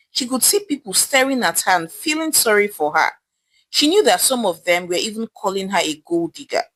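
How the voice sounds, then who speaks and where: A woman's voice: mid-range voice, fairly bright tone, low-quality recording. A woman with a Nigerian accent narrating a folktale dramatically as a storyteller.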